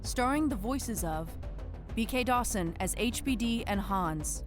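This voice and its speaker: A woman's voice: fairly high pitch, expressive, measured pace. A woman reading scripted podcast credits and thanks as the show's announcer. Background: music.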